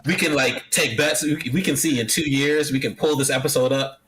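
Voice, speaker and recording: Playful man with a bright voice. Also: mid-range voice, steady tone, a little fast, rough recording. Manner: playful, laughs easily, confident in his predictions. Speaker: podcast co-host. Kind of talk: casual chat.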